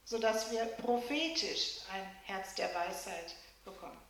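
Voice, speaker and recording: A woman's voice: medium pitch, expressive, unhurried, vocal fry, crowd noise. A woman interpreting a sermon into German phrase by phrase, sometimes explaining the literal wording. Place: church. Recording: very echoey church hall.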